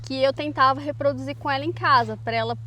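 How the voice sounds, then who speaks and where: A woman in her thirties with a Brazilian Portuguese accent, chatting casually as a mother and co-host, a little hesitant and quick to laugh. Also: high-pitched, sharp tone, slight background noise. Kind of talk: casual chat.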